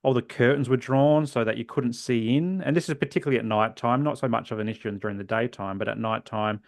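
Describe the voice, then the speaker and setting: Aussie guy in his 40s, gravelly, dry close mic, earnest, lets phrases trail off soft. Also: mid-range voice, fairly even intonation, quick pace, no room sound. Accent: Australian. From South Australia. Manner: earnest, reflective, trails off softly at phrase ends. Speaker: guest. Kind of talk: personal storytelling in a podcast interview.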